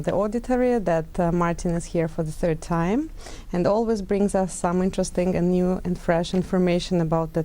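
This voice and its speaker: A woman's voice: on the low side, dark tone, clear, resonant tone. A woman hosting a TV interview in English, using many fillers and short murmurs of agreement. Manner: frequent fillers and back-channel murmurs. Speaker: TV host.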